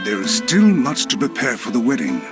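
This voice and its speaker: An actor playing a polite, deferential clan retainer in a video game, formal and courteous. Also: male voice, medium pitch, huge pitch swings, moderate pace, music in the background.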